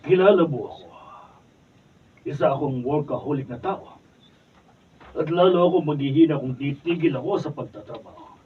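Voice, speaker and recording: A stern aging company owner. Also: male voice, fairly high pitch, normal speed, pauses often, slightly rough, recorded from a distance, phone-quality sound. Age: older. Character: older male company owner, stern, tired, contemptuous. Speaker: radio drama actor. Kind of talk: 1970s-style radio drama acting.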